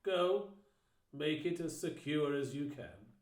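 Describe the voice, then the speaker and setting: A man's voice: fairly high pitch, animated, takes it slowly, darkish tone. A man voicing Pilate, a Roman governor, in a curt and dismissive way. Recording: roomy echo.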